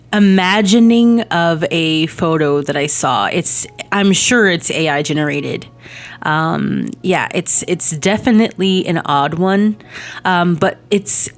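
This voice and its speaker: A woman in her thirties, hoarse from a cold, chatting casually in a rambling way with lots of ums and little laughs as she narrates a game build. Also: deep voice. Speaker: Sims speed-build creator. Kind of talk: casual voice-over chat over gameplay. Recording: soft background music.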